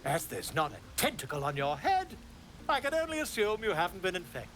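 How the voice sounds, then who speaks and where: A man's voice: high-pitched, huge pitch swings, a little fast, a bit bright, faint music behind, exaggerated voice. A man voicing a pompous, theatrical bard and scholar in a fantasy game, with florid, verbose delivery. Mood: intrigued, self-assured. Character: flamboyant, pompous bard and self-styled scholar, grandly theatrical.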